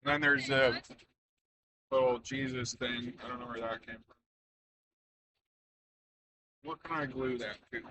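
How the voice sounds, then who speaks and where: A man's voice: medium pitch, steady tone, a little slow, pauses often, background noise. A man vlogging in an offhand, wry, musing way. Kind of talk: casual vlog chat.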